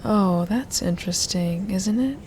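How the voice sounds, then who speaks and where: A woman performing a hypnosis ASMR roleplay as a hypnotist, soft and teasing, often dropping into a hypnotic whisper. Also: medium pitch, measured pace, a little hiss or hum.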